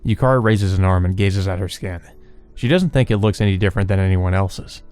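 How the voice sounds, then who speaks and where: A woman's voice: a bass voice, a little fast, a little mellow, soft background music. A woman reading visual novel narration aloud with casual commentary of her own. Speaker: let's player.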